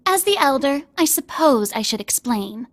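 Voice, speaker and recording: Fantasy game clan elder, lively high voice, lofty, knowing, a touch teasing. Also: female voice, slow, full character voice. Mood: haughty, explanatory. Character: haughty, knowing elder of a hidden magical clan. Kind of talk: dramatic video game acting.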